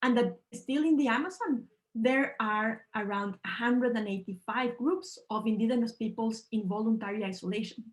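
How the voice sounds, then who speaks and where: A woman's voice: a little high, poor audio. Earnest woman from Ecuador presenting. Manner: earnest, measured, slightly non-native phrasing. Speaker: panel speaker. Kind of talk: online presentation talk. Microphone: video call.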